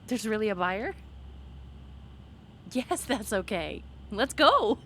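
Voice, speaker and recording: A woman playing an excitable, playful young woman on a date, in a conversational, halting roleplay style with teasing, gushing delivery. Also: mid-range voice, slight background noise, exaggerated voice. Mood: nervous excitement. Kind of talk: ASMR roleplay acting, one side of a dialogue.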